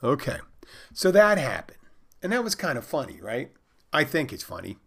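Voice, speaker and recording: A man's voice: mid-range voice, huge pitch swings, normal speed, creaky, fairly bright tone, breathy edge. A man casually recounting a story, rambling with restarts and side comments. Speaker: storyteller recounting trolling a scammer. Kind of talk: casual spoken anecdote.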